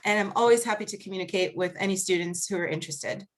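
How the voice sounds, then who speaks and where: A woman with a Boston accent speaking as a guest researcher on a video-call panel, earnest and measured, laughing easily during questions. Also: mid-range voice. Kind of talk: academic panel talk and Q&A.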